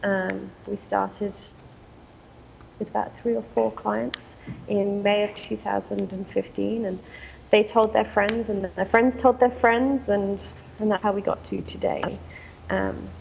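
English woman with a heavily gravelly voice. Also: medium pitch, little pitch movement, measured pace, a little mellow, slight background noise, low-quality recording. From England. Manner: conversational, frequent ums, occasional laughs. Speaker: guest, company founder. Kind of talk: casual interview answers.

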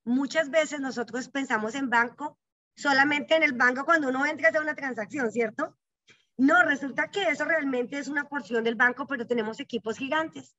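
A middle-aged woman talks through her life story as an interview guest, earnest and reflective, often checking in with short tag questions. Her voice sits high and sounds bright and crisp. The recording is dry and slightly dull, like a lo-fi setup. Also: a little fast, no echo. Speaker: guest, psychologist and bank executive.

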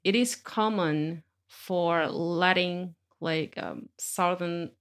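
A woman photographer hosting a podcast interview in her studio, speaking gently and effusively with many fillers. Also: on the low side, very slow. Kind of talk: warm conversational interview.

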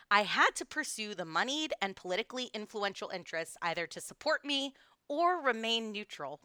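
A woman from Texas co-hosting a history podcast, telling the story in a lively, explanatory way. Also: mid-range voice, smooth, no echo, clean studio sound. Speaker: podcast co-host.

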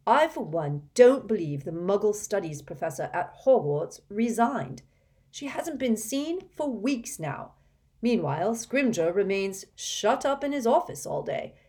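A woman's voice: medium pitch, very animated, a little slow, mellow, slightly rough, pitched above the performer's own voice. A woman doing an earnest wizard father in a storybook. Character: worried middle-aged wizard father, firm.

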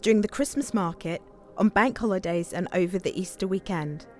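A woman's voice: fairly low pitch, brisk, soft background music, close to an everyday voice. An actress playing a hopeful, blunt, determined young homeless woman with a British accent in an audio play. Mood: reflective. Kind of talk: audio play dialogue and narration.

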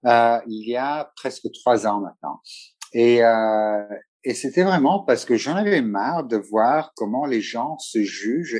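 A middle-aged man speaks French, at a middle pitch. He talks loosely and with many pauses and restarts. He sounds like a guest photographer in a relaxed interview. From Italy. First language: Italian. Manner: hesitant, many restarts and fillers. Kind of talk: casual conversational interview.

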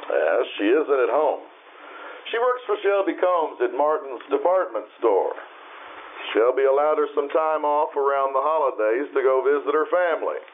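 Tinny old radio play, guy doing a wise calm ghostly guide, pitch swings a lot, explains stuff patiently. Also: moderate pace, close to an everyday voice. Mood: solemn. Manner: calm, explanatory, gently admonishing. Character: patient, wise male spirit guide showing visions. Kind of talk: old-time radio drama acting. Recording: tinny phone-like band, noisy radio sound.

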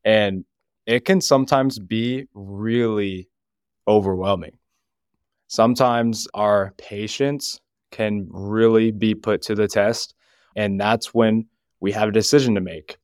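Male youth leader, low voice, earnest and measured, dry close mic. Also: steady tone, smooth, no echo. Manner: jokey, then earnest and deliberate. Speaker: youth ministry leader, podcast guest. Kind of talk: casual podcast chat with scripture reading.

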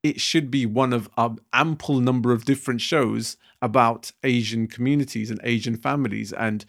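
A man's voice: medium pitch, fairly even intonation, normal speed, creaky. A young man co-hosting a casual podcast discussion, thinking aloud and circling back with many restarts and fillers. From Britain. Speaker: podcast co-host.

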